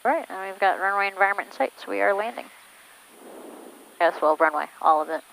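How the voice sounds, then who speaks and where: A woman's voice: medium pitch, fast, vocal fry, fairly bright tone, poor audio. A woman flying a small plane, giving clipped radio calls and talking herself through the approach over a headset intercom. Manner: clipped checklist-like callouts. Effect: aviation intercom and radio headset. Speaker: pilot flying instrument approach. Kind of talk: cockpit radio calls and self-narrated flying. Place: small airplane cockpit in flight. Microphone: aviation headset.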